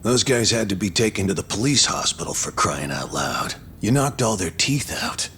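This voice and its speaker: A man's voice: on the low side, animated, moderate pace, pushed and bright, a little hiss or hum. A man playing a stern but caring prison guard in a video game drama, admonishing and matter-of-fact. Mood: weary reproach.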